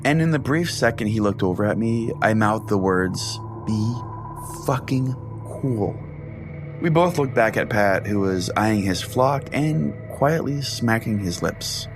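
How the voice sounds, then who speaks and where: A man with a fairly low voice narrates a scripted comedic tale as a podcast host. He keeps a deadpan storyteller's manner, with music playing clearly behind him.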